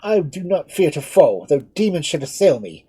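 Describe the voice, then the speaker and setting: A man voicing a proud, desperate young nobleman who declaims loudly and passionately with a breathy voice. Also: fairly high pitch, very animated, moderate pace, no echo, voice pushed up, exaggerated voice. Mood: defiant. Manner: loud, declamatory and impassioned.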